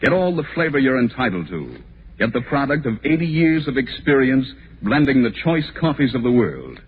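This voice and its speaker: Animated old-time radio announcer man. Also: mid-range voice, moderate pace. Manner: polished, declarative sales delivery. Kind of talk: 1940s radio commercial announcing. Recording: hissy, muffled old radio recording.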